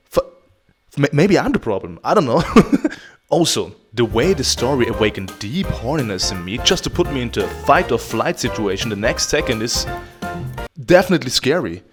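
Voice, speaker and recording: A man talks through a video game review with very lively, swooping intonation. He is theatrical and self-deprecating and swears freely. Music plays clearly behind his voice. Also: mid-range voice. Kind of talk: casual, profane review commentary over gameplay. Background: background music.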